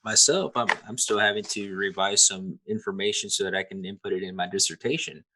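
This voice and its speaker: A man's voice: fairly low pitch, brisk, sharp tone, a little hiss or hum, low-quality recording. A man hosting a podcast interview, stammering and repeating words as he starts his sentences. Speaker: podcast host.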